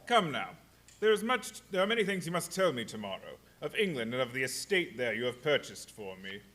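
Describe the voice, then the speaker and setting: Sinister male vampire count, courtly menace, sweeping intonation, bright edge, formal radio play delivery. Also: medium pitch, big pitch swings. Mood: sly, theatrical. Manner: slow, formal, theatrical delivery.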